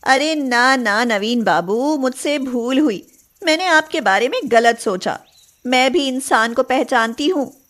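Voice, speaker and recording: Woman playing a high-voiced sincere college girl. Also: expressive, measured pace, fairly bright tone. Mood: apologetic. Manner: polite, sincere. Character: poor, brave, polite young college girl.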